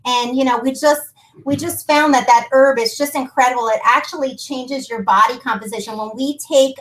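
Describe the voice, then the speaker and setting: A woman's voice: on the high side, a bit bright, low-quality recording. A woman hosting a business call, giving an enthusiastic, informal sales presentation built on testimonials. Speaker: business conference call host and product presenter. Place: conference call.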